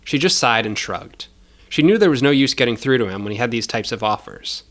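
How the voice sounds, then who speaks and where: A man's voice: medium pitch, animated, fairly quick, fairly bright tone. A man narrating scripted audio fiction as the storyteller.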